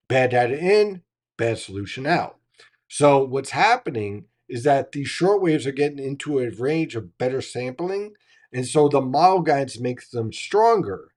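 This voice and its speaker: A man who hosts a live weather chat, teaching how storms build and answering viewer questions, his voice going hoarse. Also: mid-range voice, darkish tone. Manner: explanatory, teacherly, asks "right?" often. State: losing his voice. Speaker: meteorologist hosting a live chat. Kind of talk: live-stream weather explanation and Q&A.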